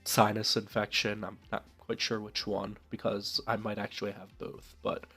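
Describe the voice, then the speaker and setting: Young guy with a blocked-up nasal voice, lowish pitch, chatting through his game with lots of ums, faint music behind. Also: moderate pace. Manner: relaxed, thinking aloud with many fillers. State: stuffed up from a cold. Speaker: let's play host. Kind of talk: casual game commentary.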